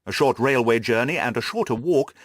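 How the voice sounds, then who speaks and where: A man's voice: medium pitch, animated, brisk, no echo, studio quality. A man reading scripted literary narration as an audiobook narrator.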